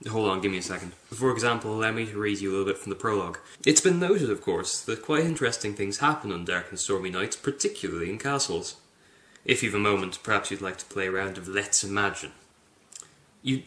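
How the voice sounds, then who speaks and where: A man with a fairly low voice presents and reads from a book. His delivery is theatrical and aimed straight at the listener, and the room echoes noticeably around him. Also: animated, fairly quick, a bit bright. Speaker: book reviewer. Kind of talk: dramatic book reading. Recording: roomy, echoing indoor sound.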